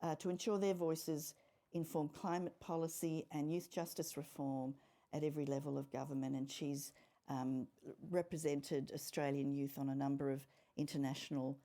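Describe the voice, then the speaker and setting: A woman moderating a panel discussion, introducing guests and asking questions in a measured, slightly hesitant, courteous way. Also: low voice, level tone, mellow.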